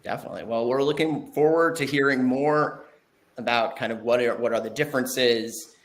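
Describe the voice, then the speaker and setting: A man's voice: medium pitch, fairly even intonation, moderate pace. Man, webinar host, casual, lots of um and uh, video call audio. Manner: casual, filler-heavy. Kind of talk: hosting a webinar.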